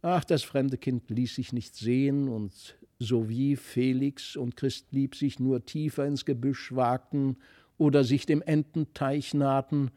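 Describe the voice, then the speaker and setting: A man reading a literary fairy tale as narrator, with measured, dramatic storytelling. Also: medium pitch, steady tone, warm tone, no room sound, studio quality. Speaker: narrator, audiobook reader. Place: dry studio.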